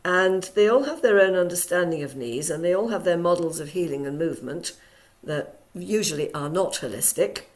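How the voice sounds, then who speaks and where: Croaky, low-voiced woman teaching online. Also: moderate pace. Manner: chatty with frequent ums. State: hoarse from a cold. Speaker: Alexander Technique teacher. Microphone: video call.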